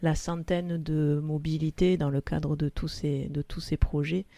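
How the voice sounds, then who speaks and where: A man's voice: a little high, flat delivery, measured pace, creaky, mellow, no room sound. A man hosting a radio discussion, prompting guests and guiding the conversation. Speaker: radio host.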